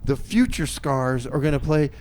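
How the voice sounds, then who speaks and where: A man's voice: fairly high pitch, lively intonation, normal speed, darkish tone, a little hiss or hum. A man hosting a community radio show with casual, improvised chat and announcements, hesitant and folksy, often repeating his phrases.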